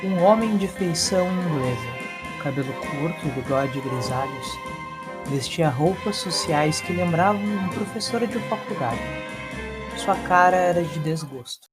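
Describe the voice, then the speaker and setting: A Brazilian man narrates a scripted story in a fairly high voice for a male. Music plays clearly behind his reading. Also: lively intonation, a little slow.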